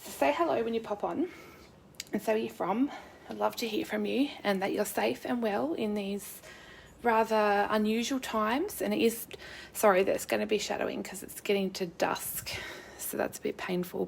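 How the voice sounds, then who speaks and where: A woman with a croaky sore throat chatting casually and rambling as she demonstrates crafting live, greeting viewers by name. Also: medium pitch, normal speed, a little hiss or hum. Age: adult with a son. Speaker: crafting demonstrator, youth worker. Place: home craft desk. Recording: roomy distant mic at a craft desk.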